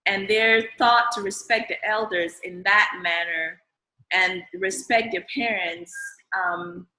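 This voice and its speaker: A woman from Cameroon sharing a personal reflection at a live forum, with frequent pauses and ums. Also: medium pitch, bright, forceful tone, roomy sound, poor audio. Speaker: audience member.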